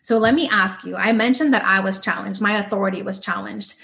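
A woman hosting an interview, asking measured questions and encouraging her guest. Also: mid-range voice, creaky, a bit bright. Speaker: podcast host. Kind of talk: interview conversation.

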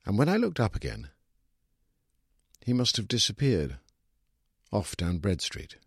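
Low, husky British man reading fiction. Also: huge pitch swings, a little slow, no room sound, studio quality. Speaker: story reader. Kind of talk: dramatic literary short-story narration.

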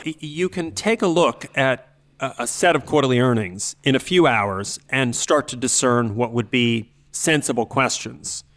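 A man speaking as an expert podcast host, explaining things in an articulate, analytical and measured way. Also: medium pitch, fairly bright tone.

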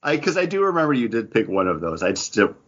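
A man's voice: mid-range voice, sing-song, fast. A man co-hosting a comics podcast in casual chat, wry and talkative, with lots of "like". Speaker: podcast co-host. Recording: dull lo-fi podcast audio.

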